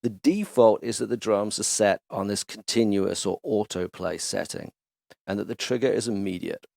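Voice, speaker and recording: A man hosting a casual music production tutorial, chatty and enthusiastic as he walks through software instruments in his home studio. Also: fairly low pitch, normal speed, gravelly, no echo. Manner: relaxed, chatty, enthusiastic demonstrator. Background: instrument demo music.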